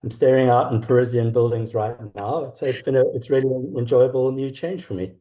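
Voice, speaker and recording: A middle-aged South African man talking reflectively and haltingly as an author being interviewed. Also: mid-range voice, fairly even intonation, dark tone, poor audio. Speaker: author guest. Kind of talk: conversational interview about own work.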